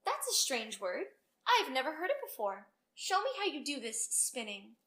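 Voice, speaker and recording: A woman voicing a gentle young princess from a storybook, innocent, curious and kindly. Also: sits high, wildly swooping pitch, normal speed, full character voice.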